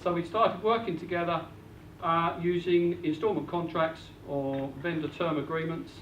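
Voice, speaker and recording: A man's voice: a little high, steady tone, normal speed. A man presenting plainly and steadily at a property seminar. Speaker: property investment speaker. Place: event hall. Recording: roomy, distant, dull lo-fi with faint noise.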